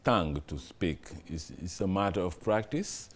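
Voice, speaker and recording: A middle-aged man with a Senegalese accent, a guest in an interview, answering in a relaxed, hesitant way with many fillers and small stories. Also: low voice, normal speed. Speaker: interview guest, TV general manager.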